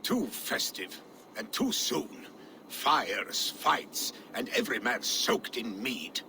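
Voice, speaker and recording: A weary, grieving Viking steward. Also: male voice, medium pitch, expressive, takes it slowly, gravelly, background noise. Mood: worried. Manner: weary, mournful, quiet.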